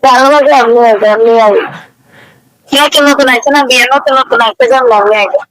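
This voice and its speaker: Young woman, eastern Bengali dialect, high-pitched, dry close sound, protesting banter in short repeats. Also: fast, a bit bright, dry recording, low-quality recording. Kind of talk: casual bantering chat.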